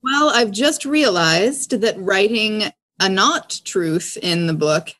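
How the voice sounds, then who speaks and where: A woman's voice: medium pitch, expressive, takes it slowly, fairly bright tone. A woman playing a tabletop role-playing game, talking casually and describing what her character does.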